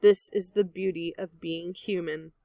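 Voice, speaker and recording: A woman's voice: fairly low pitch, fairly even intonation, measured pace, dark tone, dry recording. Woman reading a children's book aloud, dry sound. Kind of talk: children's read-aloud narration.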